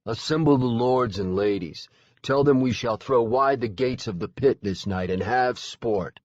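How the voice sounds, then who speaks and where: A man's voice: mid-range voice, unhurried, no room sound, muffled recording, pitched above the performer's own voice, natural voice. A man voicing a huge, menacing fae lord who speaks in a bored drawl.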